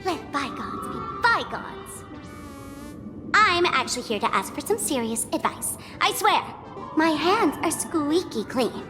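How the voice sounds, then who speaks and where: A woman's voice: sits high, big pitch swings, measured pace. A woman voicing a playful, scheming young trickster in a video game, with a teasing, sing-song, theatrical delivery. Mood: coy, inquisitive. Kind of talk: English video game voice acting. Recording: music playing behind the voice.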